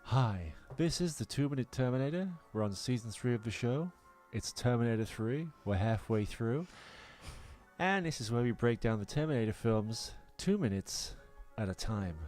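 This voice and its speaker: Male podcast host, mid-pitched, teasing and animated film chat, light orchestral bed. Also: normal speed. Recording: music playing behind the talk. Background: orchestral film score.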